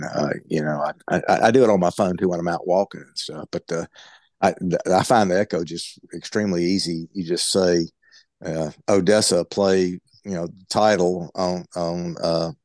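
A man's voice: low-pitched, a little mellow, no room sound. A man in a book group discussion, talking bluntly and stumbling over many repeated words. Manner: halting, many stammered repeats; blunt and opinionated.

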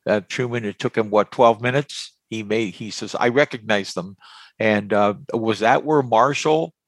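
A man's voice: lowish voice, normal speed, no room sound. A man in a casual group discussion, speaking in short broken phrases and posing questions. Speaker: book club member.

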